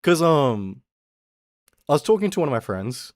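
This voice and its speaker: A young man streaming as a VTuber, ranting in a casual, animated way. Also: on the high side, no room sound, studio quality. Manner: animated, exaggerated, quick mock voices and laughs.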